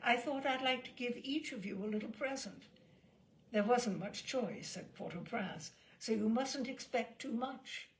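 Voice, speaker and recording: A woman reading the part of a prim, coolly polite spinster passenger in a measured, genteel manner. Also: on the high side, natural voice. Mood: gracious.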